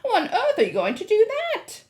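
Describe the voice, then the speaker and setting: A woman voicing a composed, deliberate old grandmother. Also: very high-pitched, wildly swooping pitch, a little mellow, voice pushed up, heavily acted. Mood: brisk, emphatic. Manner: measured, deliberate, emphatic.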